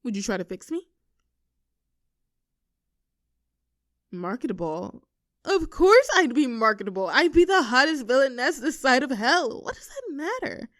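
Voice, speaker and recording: A woman playing a playful, clingy young girlfriend in an intimate roleplay audio, teasing and cooing in an animated, affectionate way. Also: sits high, moderate pace, no echo, clean studio sound. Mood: playful, mock-indignant. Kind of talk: intimate girlfriend roleplay audio, scripted one-sided conversation.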